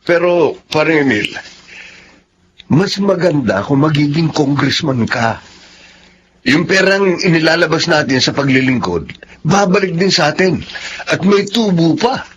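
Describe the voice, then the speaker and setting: Pushy greedy pal, grumbly, highish lively voice, muffled radio drama sound. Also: male voice, measured pace, husky. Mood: indignant, insistent. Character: pushy, money-minded male friend eager for profit. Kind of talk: Filipino radio drama acting. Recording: dull lo-fi audio with noise.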